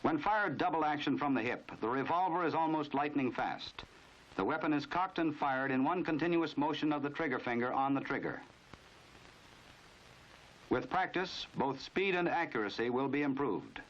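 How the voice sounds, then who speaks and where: A dull, dated 1950s film soundtrack carries an American man's narration. His voice sits a little high for a man, and he delivers formal instruction with authority, in the scripted style of a mid-century training film. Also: animated, moderate pace.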